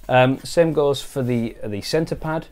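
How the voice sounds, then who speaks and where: Man calmly explaining things step by step. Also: medium pitch, measured pace. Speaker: helmet shop technician giving a demonstration. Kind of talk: casual hands-on product walkthrough.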